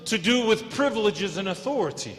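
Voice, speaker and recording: An American man preaching a sermon in short, measured phrases, pausing for his interpreter. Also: sits high, lively intonation, a bit bright. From United States. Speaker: guest preacher. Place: church hall. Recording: church hall reverb.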